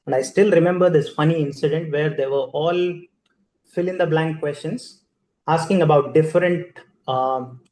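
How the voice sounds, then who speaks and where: A man's voice: on the high side, warm tone, roomy sound, poor audio. A man with an Indian accent, giving a conversational storytelling speech as chief guest at a ceremony, with fillers and restarts.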